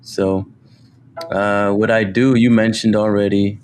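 A man speaking as a guest on a podcast, talking humbly about his work with many pauses and fillers. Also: lowish voice, little pitch movement, darkish tone, slight background noise. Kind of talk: casual interview.

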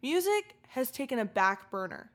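A woman's voice: a little high, big pitch swings, moderate pace. A woman of about twenty, co-hosting a podcast in a casual, bubbly and candid chat. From California, USA. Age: 20s. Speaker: podcast co-host.

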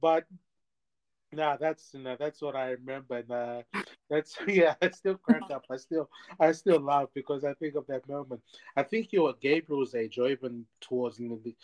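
A man hosting a podcast interview, lively and chatty, often repeating "amen" and filling his talk with restarts. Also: medium pitch, normal speed, low-quality recording. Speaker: podcast host.

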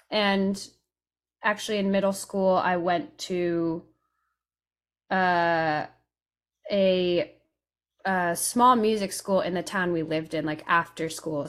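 A woman who grew up in Europe, talking casually as an interview guest, explaining things reflectively with thinking pauses. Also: fairly low pitch. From Europe: Italy, England and France.

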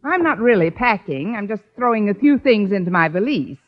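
An actress playing a wry, sharp-tongued American schoolteacher in a 1950s radio sitcom, with dry, sarcastic delivery. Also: female voice, mid-range voice, big pitch swings, fairly quick, natural voice. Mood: brisk. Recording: dull vintage radio recording.

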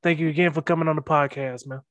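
A man's voice: a little high, fairly even intonation, fast talker, fairly bright tone, no echo, lo-fi sound. A man hosting a podcast, interviewing a comedian guest with many ums and uhs. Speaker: podcast host.

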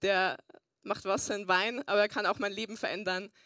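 A woman's voice: medium pitch, steady tone, a little fast, sharp tone, no room sound, studio quality. A young woman pastor preaching a sermon and telling her personal testimony, earnest with frequent fillers. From Bavaria, Germany.

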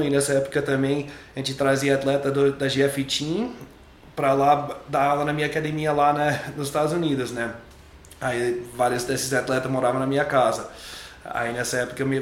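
A young adult man, English-native, talks in a relaxed, rambling way and keeps repeating himself. His voice sits in the middle of the range. An echoey room with faint ambience is behind him. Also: medium pitch, a little hiss or hum. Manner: loose and chatty, repeats and restarts words. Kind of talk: relaxed interview chat.

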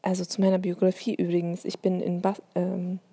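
A woman's voice: fairly low pitch, completely flat delivery, brisk, warm tone. A woman from Bavaria with a light Bavarian accent, thinking aloud in a solo podcast monologue, with many hesitations and fillers. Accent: light Bavarian German.

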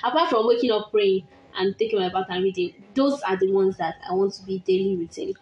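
A woman's voice: medium pitch, a little fast, darkish tone, reverberant, dull audio. A young Nigerian woman hosting a podcast, chatting and teasing her friend with frequent laughs. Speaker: podcast host. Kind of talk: casual chat with a friend. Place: room in a village house.

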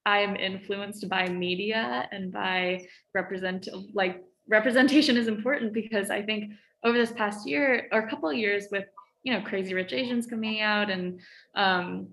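A woman's voice: medium pitch, fairly even intonation, moderate pace, gravelly, fairly bright tone, echoey room, slight background noise. A teenage girl talking casually in a conversation, with many fillers and light laughs between phrases. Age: teens. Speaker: student.

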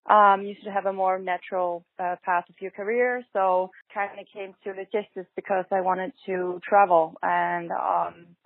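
A woman's voice: medium pitch, steady tone, moderate pace, hardly any pauses, dry recording. A young Danish woman with a Danish accent, answering interview questions hesitantly, with many fillers and restarts. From Denmark. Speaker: guest, fashion designer. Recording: tinny phone-line sound, dry.

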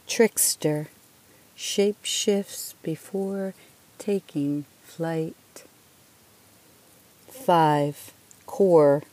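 A woman poet reciting her own verse solemnly, with deliberate, dramatic phrasing. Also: sits low, mellow. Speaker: poet reading own poems.

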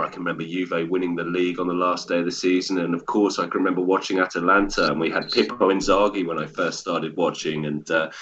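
An English man in his thirties, a podcast guest telling relaxed stories with self-deprecating humor. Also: low-pitched. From England. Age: 30s. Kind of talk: casual podcast interview. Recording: dull, lo-fi sound.

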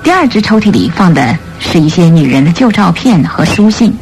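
A woman narrating a radio drama from a script in an expressive storytelling manner. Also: fairly low pitch, fairly quick, low-quality recording. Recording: studio radio play with music bed.

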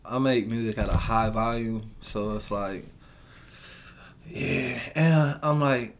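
A soft-voiced, raspy man from Cleveland, a rapper as guest, chatting in a laid-back, slangy way full of "like". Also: mid-range voice, slow pace. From Cleveland, Ohio. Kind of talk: casual interview chat.

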